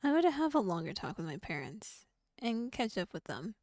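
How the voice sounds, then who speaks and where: A woman voicing a calm, gently insistent young man from a horror romance visual novel, speaking in a soft, even tone. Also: medium pitch, normal speed, no echo, studio quality.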